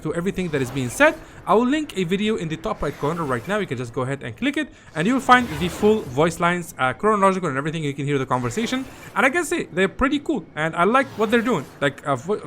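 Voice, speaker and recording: A man's voice: high voice, fairly bright tone, slight background noise. A man giving an informal, hesitant gameplay guide, often repeating himself as he goes. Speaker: gaming video host. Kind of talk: casual gameplay guide commentary.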